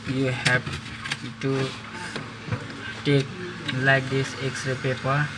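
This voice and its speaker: A man whose first language is Nepali talks through a phone repair in halting, non-native English, with many pauses and restarts. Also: medium pitch, monotone, over loud music. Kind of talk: hands-on repair tutorial. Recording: loud background noise.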